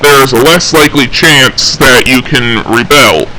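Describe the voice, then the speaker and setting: A man playing an officer of a sci-fi police engineering unit, giving a halting, methodical, official briefing in an amateur audio log. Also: mid-range voice, pushed and bright, slight background noise, low-quality recording. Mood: official, matter-of-fact. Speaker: roleplay game server member recording an audio log. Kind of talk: semi-scripted in-character briefing log.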